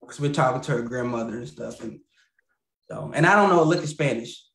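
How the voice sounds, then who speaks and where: A man in his thirties chatting as a podcast guest over a video call, rambling and laughing, often trailing off quietly. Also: mid-range voice, lively intonation, brisk, vocal fry, roomy sound. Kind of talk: casual interview chat over video call. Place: indoor home room with lamp. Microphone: video call, webcam.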